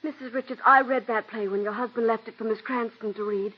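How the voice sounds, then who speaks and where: Young scheming actress, high husky voice, pleading then icy, 1940s radio play, dull old broadcast. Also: female voice, steady tone, fairly quick. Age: young adult. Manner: earnest and pleading, turning coolly threatening. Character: young ambitious scheming stage actress, woman. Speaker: aspiring actress.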